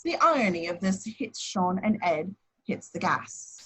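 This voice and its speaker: A woman's voice: lowish voice, sing-song, unhurried, slightly rough, rough recording. A woman reading out scripted action descriptions as narrator at an amateur table read.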